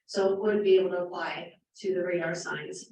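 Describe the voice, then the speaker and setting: A woman chairing a neighborhood meeting in a city hall room, talking loudly and explaining things directly at length. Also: fairly low pitch, fairly even intonation, brisk. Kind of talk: community meeting discussion. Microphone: handheld mic, from a distance. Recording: echoey meeting room from far off.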